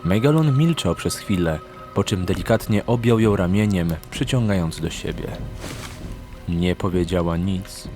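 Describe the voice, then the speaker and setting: A man's voice: low voice, measured pace. A man narrating a scripted fantasy story as an audiobook narrator. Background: background music.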